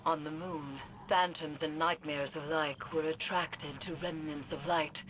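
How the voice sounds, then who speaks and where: A woman playing a grave, brooding occult expert in a video game, speaking in a solemn, ominous, deliberate way. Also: low voice, steady tone, fairly bright tone, a little hiss or hum. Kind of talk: scripted video game voice acting.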